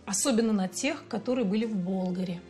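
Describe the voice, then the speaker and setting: An actress playing a patient, playful mother in her forties with a Russian accent, gently explaining things to her child in a TV sitcom. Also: mid-range voice, fast, soft background music, close to an everyday voice. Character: bilingual mother, patient, fond of teaching. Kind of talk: television sitcom acting.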